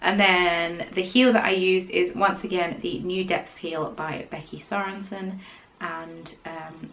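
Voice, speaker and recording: A young woman hosting a podcast, chatting casually about her knitting in a rambling way and dropping into quiet, whispered asides. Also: lowish voice, creaky, a little hiss or hum, rough recording. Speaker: podcast host.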